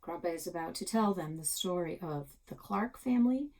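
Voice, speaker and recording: A woman narrating a children's chapter book aloud as a library storyteller, in an expressive style. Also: fairly low pitch, normal speed, slight background noise.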